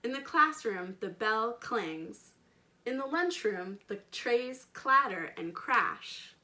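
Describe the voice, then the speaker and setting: A woman's voice: on the high side, very animated, takes it slowly, sharp tone. A woman reading a picture book aloud for children, talking to them directly and stressing key words. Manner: addresses children directly with questions and simple words. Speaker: storytime reader and children's educator. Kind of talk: children's picture book read-aloud with chatty asides to young listeners.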